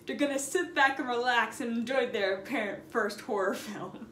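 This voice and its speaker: Young woman w a high voice doing a chatty storytime, kinda sarcastic, noisy recording. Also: normal speed. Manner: animated, sarcastic, stretches words for emphasis. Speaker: storytime vlogger. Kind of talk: casual, chatty anecdote storytelling. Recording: roomy echo, noticeable background noise.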